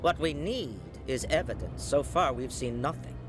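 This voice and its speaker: An actor playing a skeptical, formal alien councilor in a video game, with a brisk, dismissive manner. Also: male voice, medium pitch, lively intonation, over music, lightly acted. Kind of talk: dramatic video game dialogue.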